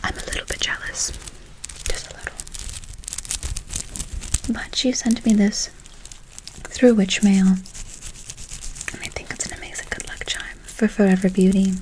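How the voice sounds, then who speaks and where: Woman doing a whimsical witch for asmr, whispery n mellow, cooing and reassuring, casting spells. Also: medium pitch, steady tone, close to an everyday voice, poor audio. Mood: whimsical, gentle. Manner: cooing endearments, gently reassuring, slow murmured asides.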